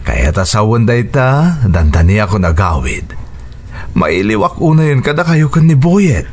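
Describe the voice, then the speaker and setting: An actor playing an affectionate husband and father in a radio drama, with a warm, expressive manner. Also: fairly low pitch, unhurried, background noise. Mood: tender, reassuring. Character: affectionate husband and father working away from home.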